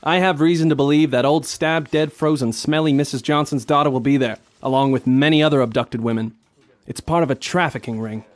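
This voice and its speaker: A man playing a determined, hard-boiled police detective in a noir radio drama, narrating and speaking in a terse, deadpan way. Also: medium pitch, brisk, no room sound, close to an everyday voice. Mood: urgent. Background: music.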